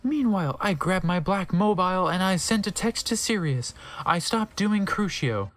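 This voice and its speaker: A man's voice: high voice, animated, normal speed, fairly bright tone. A man giving a theatrical, exaggerated comedic reading of fan fiction, breaking off now and then to react. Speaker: reader of a comedic dramatic reading.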